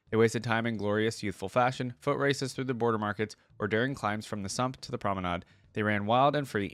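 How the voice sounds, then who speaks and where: Male reaction-video host, medium pitch, conversational, moving between read-aloud and asides, dry recording. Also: dry recording. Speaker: YouTube host reacting to game lore. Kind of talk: casual reaction commentary mixed with reading aloud.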